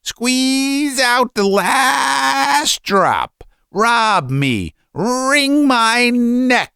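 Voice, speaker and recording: A miserly storybook father with a ferocious temper. His voice is pitched high and strained, swooping widely as he domineers his family in shrill, theatrical outbursts. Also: huge pitch swings, takes it slowly, dry recording, studio quality, full character voice. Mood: hysterical rage. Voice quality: shrill, unnatural shouting. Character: short fat ferocious miserly father, raging tyrant.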